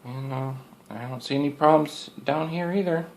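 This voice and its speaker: A man's voice: a little high, normal speed, a little mellow, a little hiss or hum. A man giving a casual, unscripted product review as a hobbyist, rambling in a folksy way and circling back over his points. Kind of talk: casual unscripted show-and-tell.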